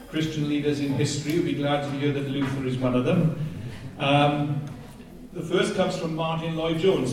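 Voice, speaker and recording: A man's voice: on the high side, darkish tone, slight background noise. A man with a Welsh accent preaching a passionate sermon and reading scripture aloud, often picking up speed as he goes. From Wales. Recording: very echoey hall, heard from a distance.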